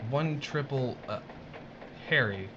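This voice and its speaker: A man playing a frightened, bewildered newcomer in an audio drama, nervous and halting. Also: mid-range voice, bright, forceful tone, highs cut off, a little hiss or hum. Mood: confused, nervous. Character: frightened, bewildered male newcomer, a conscripted worker.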